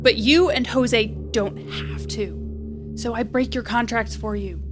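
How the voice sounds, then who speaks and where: High-voiced, reckless young woman, unhurried. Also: over music. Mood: earnest, flippant. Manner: rambling, joking bravado over earnest pleading. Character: reckless, self-deprecating young woman repairperson, earnest and flippant. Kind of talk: audio drama acting.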